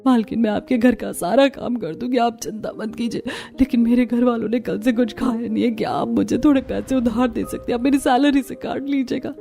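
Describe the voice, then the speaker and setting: A woman's voice: a little high, steady tone, brisk, creaky, hardly any pauses, mellow, pitched above the performer's own voice. Woman acting earnest poor young daughter-in-law, music behind. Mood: pleading.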